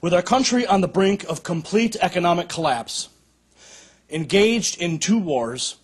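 Solemn American man, husky, higher-pitched voice. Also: animated. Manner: solemn, declamatory, measured. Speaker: organization director giving an address. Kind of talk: formal scripted political speech. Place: indoor curtained room, at a podium.

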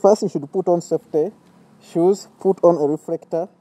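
A man's voice: high-pitched, steady tone, normal speed, warm tone. A man in his thirties with a Ugandan accent, teaching a soap-making safety class outdoors and explaining each step. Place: outdoors by a metal gate.

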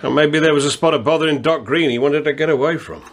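A man acting in a radio drama as a polite, persistent, unhurried London police constable. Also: on the high side, lightly acted. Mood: polite, probing. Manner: courteous, probing, unhurried.

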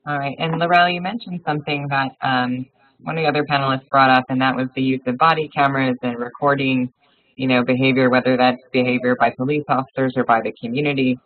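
A man's voice: mid-range voice, fairly even intonation, fast, no room sound. A man moderating a webinar panel, asking loosely phrased, casual questions. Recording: dry tinny phone line.

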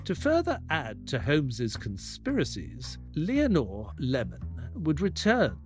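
A man playing a detective's loyal assistant who narrates an audio drama in a measured storytelling manner. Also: on the high side, huge pitch swings, music in the background. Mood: suspenseful storytelling.

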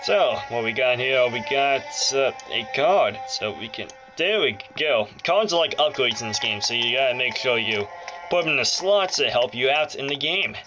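A man's voice: mid-range voice, lively intonation, moderate pace, low-quality recording. A man giving casual, jokey let's play commentary, often muttering and exclaiming to himself as he plays. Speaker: gaming video host. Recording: loud game music over the voice. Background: handheld game music and sounds.

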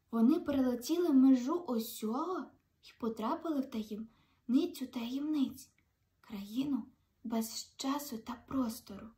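A woman's voice: sits high, darkish tone. A young woman reading a children's fairy tale aloud expressively, as a storyteller. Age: young adult. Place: indoors in a room, seated.

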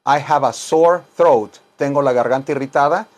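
A man's voice: medium pitch, moderate pace. A middle-aged man teaching a bilingual language lesson informally, repeating phrases and dictating them word by word. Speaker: English teacher and self-styled chaplain. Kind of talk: bilingual English lesson in Spanish and English. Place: small wood-paneled room indoors.

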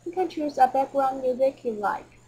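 A woman reading scripted narration for a software tutorial. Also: high voice, animated, moderate pace, slightly rough, low-quality recording.

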